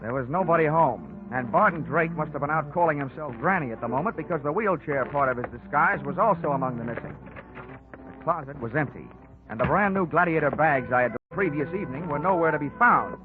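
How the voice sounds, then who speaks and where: Tinny old radio actor as hard-boiled wisecracking investigator. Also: male voice, a little high, expressive, a little fast, over music, lightly acted. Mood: wry narrating. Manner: wry, hard-boiled, sardonic. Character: wisecracking, tough male insurance investigator. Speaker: lead investigator and narrator. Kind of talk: 1940s radio detective drama acting and narration. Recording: tinny 1940s radio recording.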